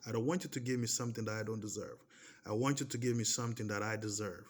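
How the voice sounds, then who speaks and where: A man's voice: lowish voice, quick pace. A man in his thirties whose first language is Portuguese, speaking English with a Portuguese accent in a casual personal monologue. He talks emphatically, restarting phrases and pressing his points with repeated checks like "okay?". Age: 30s. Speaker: vlogger.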